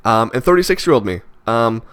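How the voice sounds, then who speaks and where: Twenty-something guy rambling to camera, lots of ums, mid pitch. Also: animated, a little fast, fairly bright tone, smooth. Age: 20s. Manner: rambling, hesitant, many fillers and restarts. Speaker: vlogger. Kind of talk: reflective casual monologue.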